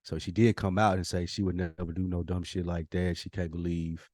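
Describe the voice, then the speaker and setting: Laid-back low-voiced male podcast host. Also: level tone, a little fast, darkish tone, no room sound, studio quality. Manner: laid-back, asks probing questions. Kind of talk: casual podcast chat.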